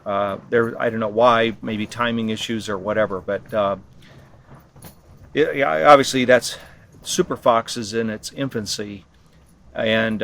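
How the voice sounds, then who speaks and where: A man giving unscripted explanations in an interview, halting and matter-of-fact in his delivery. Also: on the low side, a bit bright. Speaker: expedition team member being interviewed. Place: ham radio operating station on a remote island. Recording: clear background noise, on-site ambience.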